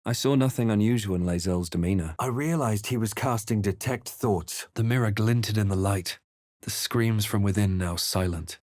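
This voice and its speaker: A man playing a brooding, wry adventurer hero in a fantasy game, speaking in a measured, grave, literary way. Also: on the low side, darkish tone, no room sound, clean studio sound, lightly acted. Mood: solemn. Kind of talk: scripted game voice lines and narration.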